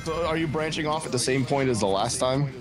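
A man's voice: mid-range voice, fairly quick. A man giving casual co-commentary on a speedrun, joking and asking mock-skeptical questions. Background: video game music.

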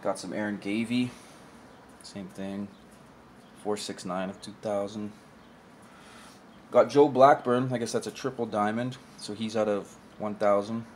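A man's voice: fairly low pitch, fairly even intonation, unhurried, long pauses, a little hiss or hum. A young man casually showing off old collectible cards in a room at home, talking offhand into a camera mic on the table and often trailing off. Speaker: card collector vlogger. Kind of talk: casual card showcase commentary. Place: indoor room with shelf of collectibles.

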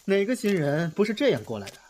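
Man acting a teasing, animated young film star. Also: high voice, full character voice. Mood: playful.

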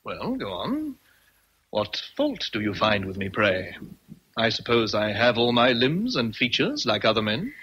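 Bossy teasing gentleman of the manor, bright forceful voice, old noisy radio play. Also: mid-range voice, wildly swooping pitch, measured pace, lo-fi sound, full character voice. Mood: challenging. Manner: commanding, probing, formal speech. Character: brusque, teasing, imperious English gentleman, master of the house. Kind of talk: vintage radio drama acting.

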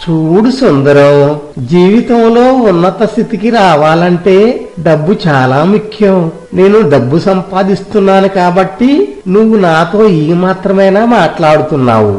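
A man's voice: high-pitched, animated, normal speed, darkish tone. Man playing a greedy, condescending village moneylender, echoey. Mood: lecturing. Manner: condescending, wheedling, emphatic. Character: miserly, scheming village moneylender husband, smooth-talking and greedy. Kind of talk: scripted Telugu moral story dramatization. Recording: roomy echo, music behind.

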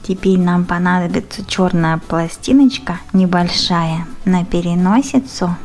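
A woman's voice: fairly low pitch, steady tone, measured pace, a little mellow, faint music behind. A woman giving step-by-step craft instructions in short phrases, full of fond diminutives. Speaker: craft tutorial presenter.

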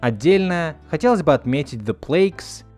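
A man's voice: a little high, animated, moderate pace, a little mellow. A man narrating a scripted video essay as a reviewer, speaking in an earnest, opinionated way. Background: background music.

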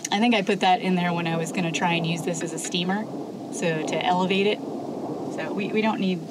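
Middle-aged woman, slightly low voice, camper van vlogger thinking out loud; loud van noise behind her. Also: steady tone, brisk. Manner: chatty, thinks aloud, mutters to herself while working. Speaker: camping vlogger. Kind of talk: casual hands-on demo. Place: inside a parked camper van. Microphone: camera mounted inside the van.

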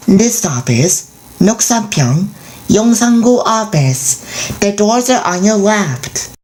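A woman's voice: mid-range voice, sing-song, drawn-out words, a little mellow. A woman reading scripted station stops as a subway announcer.

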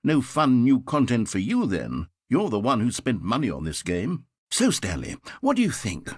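A fussy, fretful game narrator. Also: male voice, mid-range voice, huge pitch swings, normal speed, no room sound, full character voice. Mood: wheedling. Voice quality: breathy, dry close-miked studio voice. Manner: polite, fretful, swinging between grandeur and self-doubt. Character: fussy, theatrical male narrator of a video game. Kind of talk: scripted game voice acting. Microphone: studio microphone.

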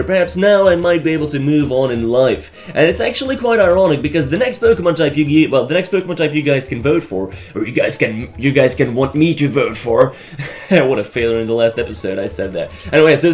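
A young man with a Belgian accent, raspy from just waking up, giving rambling, joking commentary over a game he is playing and often stumbling over his words. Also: medium pitch, darkish tone. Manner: rambling, stammering restarts, joking, laughs often. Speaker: let's play host. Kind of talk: casual gameplay commentary.

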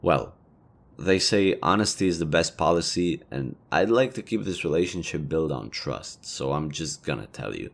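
Man acting as low-voiced, awkwardly flirty elven leader. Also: expressive, moderate pace, creaky, exaggerated voice. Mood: earnest, admiring. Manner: conversational, hesitant, rambling, casually profane. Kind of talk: intimate roleplay audio drama.

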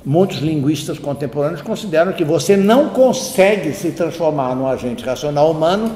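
A man's voice: fairly high pitch, expressive, normal speed, dark tone. A man giving a university lecture, reasoning aloud in a discursive, digressive way and checking in with tag questions. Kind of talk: spoken lecture. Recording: roomy hall with faint ambient noise.

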